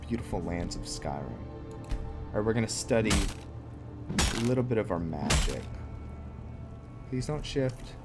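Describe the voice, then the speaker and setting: A man giving casual let's play commentary, thinking aloud in short, musing phrases. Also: low-pitched. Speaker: gamer narrating own roleplay playthrough. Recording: loud music behind the voice.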